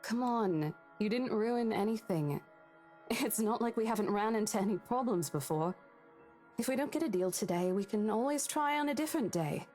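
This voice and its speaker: Casting: actress for young woman colleague in a visual novel, concerned and gently insistent, fairly high voice. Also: animated, moderate pace, soft background music, close to an everyday voice. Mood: reassuring. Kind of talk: dramatic game voice acting.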